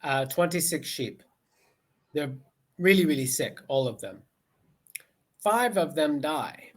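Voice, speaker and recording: A man's voice: a little high, animated, takes it slowly. A man from the United States, talking as an online English tutor on a video call, patient and often repeating "okay" as he rephrases his questions. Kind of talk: casual conversation lesson over video call.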